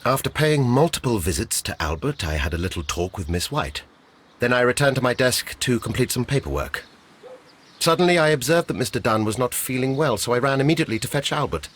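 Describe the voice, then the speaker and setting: A brusque gentleman for a mystery game. He sounds husky and formal, a little scornful. His intonation rises and drops dramatically. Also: medium pitch, very animated, fairly quick. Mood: matter-of-fact. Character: brusque, dismissive English office gentleman. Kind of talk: scripted game dialogue, giving testimony.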